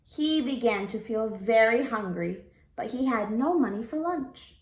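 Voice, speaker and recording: A woman teacher reading a storybook aloud to young children in an expressive, deliberate way. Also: fairly high pitch, reverberant. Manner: deliberate, expressive, prompting listeners with questions.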